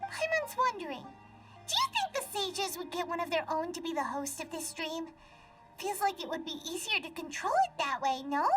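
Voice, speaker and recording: A woman voicing a small, earnest, excitable childlike fairy companion in a video game cutscene. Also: very high voice, normal speed, distant mic. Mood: pondering. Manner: refers to self in third person, childlike. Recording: game audio with loud music behind.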